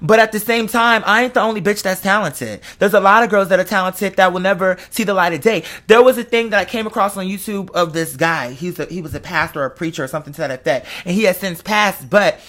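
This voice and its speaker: Casting: online host, twenties, medium-pitched voice, candid emotional monologue, heavy slang and profanity, emphatic repetition. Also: fast talker, few pauses, fairly bright tone. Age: 20s. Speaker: online content creator and live show host.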